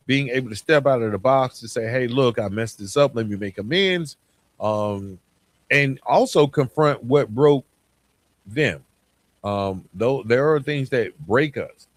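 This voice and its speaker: Man, podcast host, medium pitch, reflective monologue, earnest direct address, deliberate emphatic phrasing, dry recording. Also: no echo.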